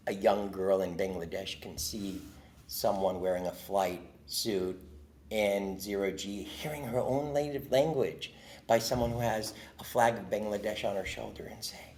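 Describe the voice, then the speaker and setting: A man from Jordan, a podcast guest, telling an earnest, expressive story in casual conversation. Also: fairly low pitch, unhurried.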